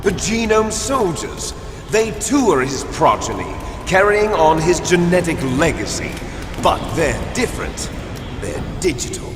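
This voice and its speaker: Theatrical villain soldier, swooping voice. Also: male voice, on the high side, sing-song. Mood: bitter. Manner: menacing, declamatory, dramatic pauses. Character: bitter, grandiose male villain soldier, theatrical and taunting. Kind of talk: dramatic video game voice acting. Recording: echoey hall reverb under loud music.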